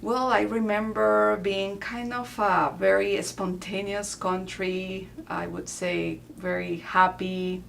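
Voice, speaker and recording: A woman in her forties from Peru with a Peruvian Spanish accent, chatting casually as an interview guest in a relaxed way with soft fillers. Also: medium pitch, slow, gravelly, hardly any pauses, a little hiss or hum. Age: 40s.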